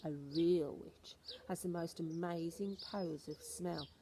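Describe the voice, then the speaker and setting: Storybook grandmother, played by a woman reader: old, stern, ominous, snappish, with a soft-edged tone and slight noise on the recording. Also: on the low side, fairly even intonation, a little slow. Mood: relishing, instructive. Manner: emphatic, instructive, snappish.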